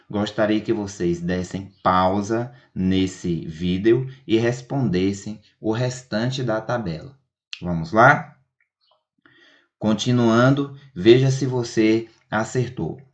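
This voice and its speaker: A man's voice: on the low side, takes it slowly. A man teaching a math lesson in a methodical, step-by-step, didactic way. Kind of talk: instructional video lesson explanation.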